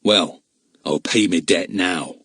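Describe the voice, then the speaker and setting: A cold, grim male villain from an adventure storybook, acted out by a man. He speaks slowly and deliberately, every phrase a threat. The sound is close and dry. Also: low-pitched, a bit bright, husky, no room sound, voice pushed down, heavily acted. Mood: cold menace. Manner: slow, deliberate, threatening delivery.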